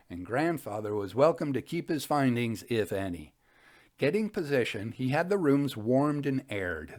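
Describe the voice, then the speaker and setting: A man reads old-fashioned tales aloud as a storytelling host. His delivery is measured and literary, with a very animated pitch that rises and falls widely around a middling register. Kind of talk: reading an old ghost story aloud, with commentary.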